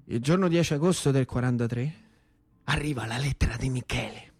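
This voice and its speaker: A man's voice: mid-range voice, a little fast, darkish tone, slightly rough, faint music behind. A man with a central Italian accent performing a theatrical narrative monologue, declamatory and insistent in his repetitions. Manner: impassioned, declamatory, repeats phrases insistently. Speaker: actor and storyteller.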